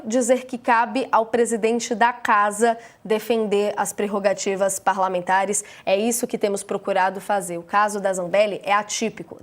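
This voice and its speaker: A Brazilian woman hosting a live news program, summarizing the story and posing questions to a guest in a conversational way. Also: mid-range voice. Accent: Brazilian Portuguese. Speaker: news host.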